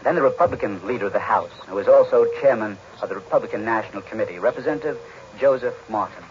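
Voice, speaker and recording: Low-pitched American newsman on muffled old radio. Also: quick pace. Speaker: radio news analyst. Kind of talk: 1940s news report, summarizing speeches. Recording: muffled, noisy 1940s radio recording.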